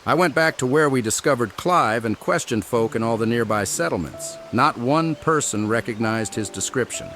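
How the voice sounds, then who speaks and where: Gravelly, shrewd minstrel. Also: male voice, medium pitch, lively intonation, a bit bright. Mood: thoughtful, wry. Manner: deliberate, storytelling, wry. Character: shrewd, well-travelled male minstrel, thoughtful and articulate. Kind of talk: scripted video game voice acting. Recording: studio voice under game music.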